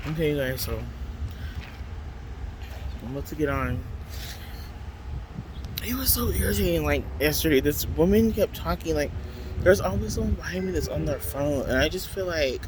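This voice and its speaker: Loud, chatty man on a phone vlog. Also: a little high, animated, moderate pace, long pauses. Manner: rambling, chatty, full of fillers. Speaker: vlogger. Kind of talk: casual vlog chat. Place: outdoors at a transit stop by passing trains. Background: faint city traffic noise.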